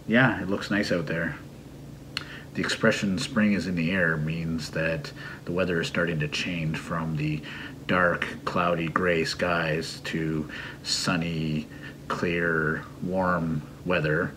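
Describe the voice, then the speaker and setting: A man's voice: low-pitched, little pitch movement, slow pace, a bit bright, slight background noise. A middle-aged man giving a casual, explanatory English idiom lesson with a teasing streak. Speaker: English teacher and video host. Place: small home room at a computer desk.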